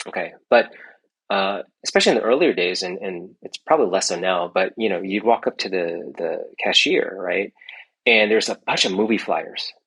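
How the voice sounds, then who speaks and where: A man's voice: deep voice, expressive, normal speed, creaky, a bit bright, husky, rough recording. A middle-aged man, an entrepreneur guest in a casual interview, rambling with many restarts and tag questions. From United States.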